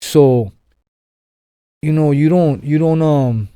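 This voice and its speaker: A man's voice: on the high side, slow pace, warm tone, smooth, dry recording, clean studio sound. A young man from Los Angeles, a podcast guest telling his story in a rambling way, full of fillers and restarts. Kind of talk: casual storytelling interview.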